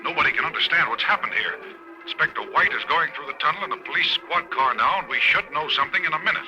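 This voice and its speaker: Brisk male radio announcer, bright cutting voice, music in the background. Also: on the high side, animated, lo-fi sound. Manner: brisk announcer delivery, growing alarm. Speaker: radio drama actor. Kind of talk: 1940s radio acting.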